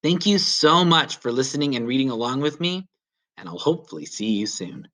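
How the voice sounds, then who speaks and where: A man narrates a story for young listeners in the style of a teacher reading aloud. His voice sits at a medium pitch and moves up and down in a lively way. He phrases deliberately, leaning on key words for emphasis. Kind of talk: children's read-aloud narration.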